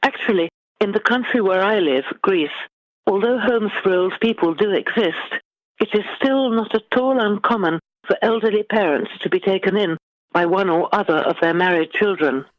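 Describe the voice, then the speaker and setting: A middle-aged British woman, an author giving measured, formally phrased answers in a literary interview. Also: mid-range voice, no echo, dull audio. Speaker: guest author.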